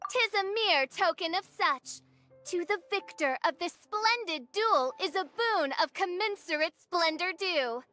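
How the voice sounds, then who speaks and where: Pompous, bright-voiced theatrical princess girl. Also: very high-pitched, expressive, unhurried, faint music behind, full character voice. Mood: imperious, grand. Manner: pompous, archaic, melodramatic diction. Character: grandiose theatrical teenage girl posing as fantasy princess. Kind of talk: anime video game acting.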